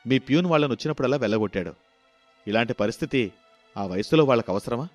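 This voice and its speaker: A man's voice: mid-range voice, lively intonation, fast, no room sound, natural voice. Earnest grown son, voiced by a man, music behind. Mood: indignant. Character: dutiful grown son back from America, earnest and caring. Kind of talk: dramatized moral storytelling.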